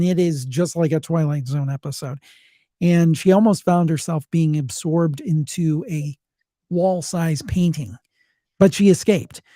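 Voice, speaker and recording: A man's voice: a little high, warm tone, dry recording, studio quality. A man who podcasts, telling stories in a conversational, emphatic manner. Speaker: storytelling podcaster. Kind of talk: casual storytelling chat.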